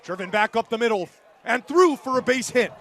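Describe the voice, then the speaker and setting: High, bright-voiced male play-by-play announcer. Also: expressive, fairly quick, a little hiss or hum. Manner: punchy, clipped game-calling phrases. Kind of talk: live sports commentary. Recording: live stadium crowd behind the voice. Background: outdoor ballpark crowd.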